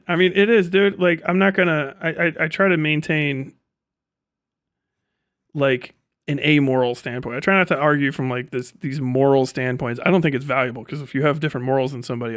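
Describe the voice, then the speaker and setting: A man's voice: mid-range voice, a bit bright, no room sound, studio quality. A man giving a casual livestream rant as host, swearing freely in slangy, sarcastic talk and often laughing as he speaks.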